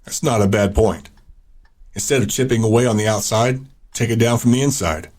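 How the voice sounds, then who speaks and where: A lowish voice carries a confident fighter and lieutenant played by a man. He is dry, clipped and sure of himself, as in a dystopian audio drama. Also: a little fast, darkish tone, lightly acted. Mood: cocky, measured. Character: confident, cautious male fighter and military lieutenant. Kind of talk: post-apocalyptic audio drama acting.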